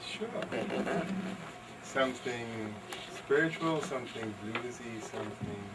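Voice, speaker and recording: A man's voice: mid-range voice, takes it slowly. A man making casual, conversational remarks during a live event. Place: library event room, from a distance. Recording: distant recording, very noisy room ambience.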